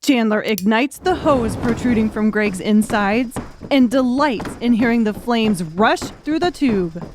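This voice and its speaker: Higher-voiced woman narrating a dark audiobook. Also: lively intonation, unhurried, background noise. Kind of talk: scripted narration of a dark thriller.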